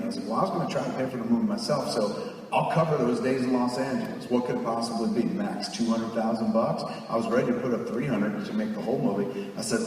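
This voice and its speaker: A man's voice: mid-range voice, slight background noise. Stage storyteller, man, 40s, swears a lot, does the voices; live hall recording with long reverb. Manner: profane, animated, acts out quoted voices. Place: theater stage, live audience. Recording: echoey hall, far from mic.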